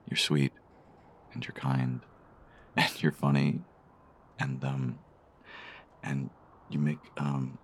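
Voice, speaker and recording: Actor as deep, gravelly, shy romantic man. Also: flat delivery, slight background noise, exaggerated voice. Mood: earnest, admiring. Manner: halting, hesitant, soft-spoken. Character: shy, smitten male stranger, tender and complimentary. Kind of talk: intimate audio romance acting.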